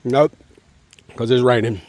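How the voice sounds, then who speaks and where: A man's voice: medium pitch, steady tone, measured pace, a little mellow. Man chatting to his kid outdoors, slightly noisy. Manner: encouraging, simple instructions, checking in with "okay?". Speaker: father talking with his young son. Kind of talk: casual playful chat with a child. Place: outdoors at a park in the rain. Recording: noisy outdoor home-video audio.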